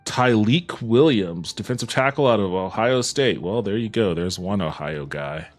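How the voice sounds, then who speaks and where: Male game streamer, lower register, off-the-cuff and casually profane, faint music underneath. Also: expressive, normal speed. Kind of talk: casual livestream chat while gaming.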